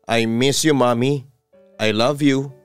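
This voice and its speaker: A man's voice: medium pitch, fairly even intonation, takes it slowly, smooth, no room sound, soft background music, natural voice. A man voicing a sullen, resentful young son in a narrated story, curt and switching between English and Tagalog. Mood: grieving.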